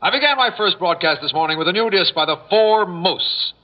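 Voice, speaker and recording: An actor playing a breezy pop radio disc jockey in a drama, chatty and teasing, on a dull old recording. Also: male voice, sits high, expressive, brisk. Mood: breezy, mock-mournful. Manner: chatty, teasing address to teenage girls.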